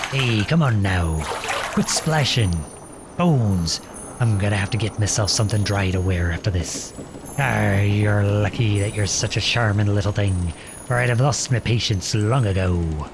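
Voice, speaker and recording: A man playing a gruff but caring pirate captain in an audio roleplay, commanding and full of salty slang. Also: on the low side, noisy background. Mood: insistent, teasing. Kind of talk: ASMR roleplay audio drama.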